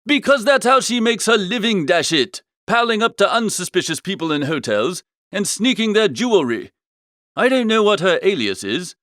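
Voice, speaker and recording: Man playing a high-pitched, foppish young gentleman. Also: dry recording, studio quality. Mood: smug, emphatic. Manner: slangy, earnest, emphatic. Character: foppish young upper-class gentleman, dithering yet indignant. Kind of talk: comic storybook dialogue.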